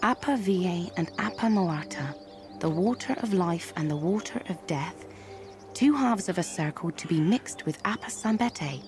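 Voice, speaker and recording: Wry, worldly herbalist over music. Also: female voice, lowish voice, animated, a little slow. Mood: musing, sardonic. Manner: wry, musing, theatrical. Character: wry, worldly female herbalist and fortune-teller. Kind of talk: video game cutscene acting.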